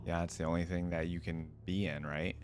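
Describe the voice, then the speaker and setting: A woman's voice: very deep voice, level tone, a little fast, music in the background. A woman giving casual, off-the-cuff reaction commentary, musing thoughtfully as she goes. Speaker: reaction video host.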